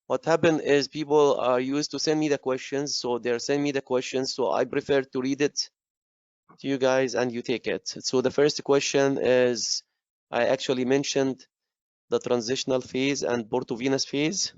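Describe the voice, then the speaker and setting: A man losing his voice, moderating a lecture Q&A over a video call, halting with fillers and restarts. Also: mid-range voice, fairly even intonation, darkish tone, clean tone, dry recording. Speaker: course director and moderator. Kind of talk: online lecture Q&A. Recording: dull muffled web call audio.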